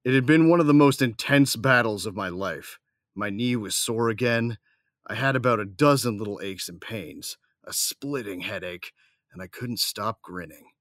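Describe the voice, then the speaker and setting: Wry, low-voiced young gunslinger played by a man. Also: moderate pace, fairly bright tone, no echo, studio quality, close to an everyday voice. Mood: wry, weary. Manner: wry, casual, slangy. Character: cocky, grinning young male gunslinger, battle-weary and wry. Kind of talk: first-person audio drama narration and dialogue.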